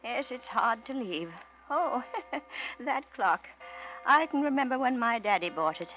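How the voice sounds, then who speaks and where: A woman's voice: a little high, lively intonation, unhurried, soft background music. An actress playing a frail, proud old woman in a vintage radio drama, rambling wistfully and hesitantly. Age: older. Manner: rambling, hesitant, muttering to herself. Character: frail, proud, wistful old widow, last of her family. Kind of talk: 1940s radio drama acting. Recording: dull vintage radio recording.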